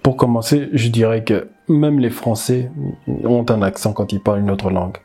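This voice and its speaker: A man from the DR Congo, a language teacher, giving animated, emphatic commentary and repeating words as he thinks aloud. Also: medium pitch, moderate pace, breathy edge. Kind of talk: casual commentary video.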